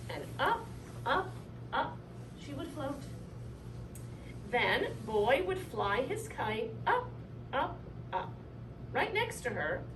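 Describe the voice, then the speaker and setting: A woman reading a children's story aloud in an expressive, animated way, drawing out key words for effect. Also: fairly high pitch. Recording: roomy, distant mic, clearly noisy.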